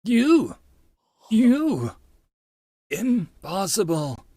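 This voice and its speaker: A man's voice: sits high, very animated, very slow, warm tone, dry recording, clean studio sound, voice pushed up, exaggerated voice. A man voicing an agitated, disbelieving young male protagonist from an anime visual novel in a theatrical, exclamatory way. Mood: shocked, accusing.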